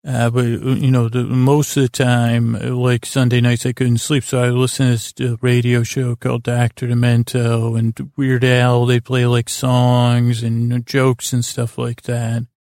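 A man hosting a bedtime podcast in a gravelly voice, rambling in a halting, stammering, self-deprecating monologue. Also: mid-range voice, completely flat delivery, darkish tone, no room sound, studio quality. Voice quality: gravelly, creaky. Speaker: podcast host.

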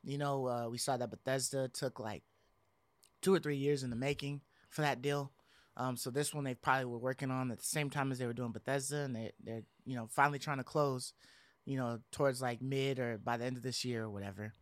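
A man's voice: mid-range voice, steady tone, brisk, no room sound. Casual man with a close, dry sound. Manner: informal, slangy, rambling. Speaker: podcast host. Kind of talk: casual gaming chat.

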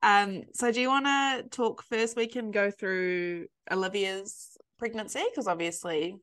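A woman's voice: mid-range voice, a little slow, fairly bright tone, clear, resonant tone. A woman with a New Zealand accent hosting a podcast interview, sounding stuffy and sniffly, chatting casually with plenty of fillers and restarts. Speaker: podcast host.

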